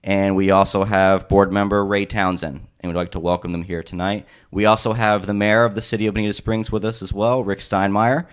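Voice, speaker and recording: A man who is a city senior planner, welcoming the public to a planning workshop in a steady, formal way with frequent ums. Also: on the low side, brisk, hardly any pauses, clean tone. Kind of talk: public meeting welcome remarks. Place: public meeting room.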